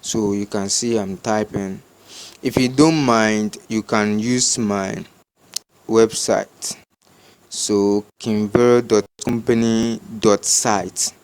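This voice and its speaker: A man with a Ghanaian accent gives unscripted step-by-step instructions in a halting, repetitive way, as a tutorial host. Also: fairly low pitch, fairly even intonation, darkish tone, clear, resonant tone.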